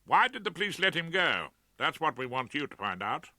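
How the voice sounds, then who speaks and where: Man acting a higher-pitched, polite young British gentleman. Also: sing-song, a little fast, no echo, clean studio sound, exaggerated voice. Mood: politely aggrieved. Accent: British English. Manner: modest, inoffensively charming, deferential. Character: polite, aggrieved young public-school man, bereaved brother.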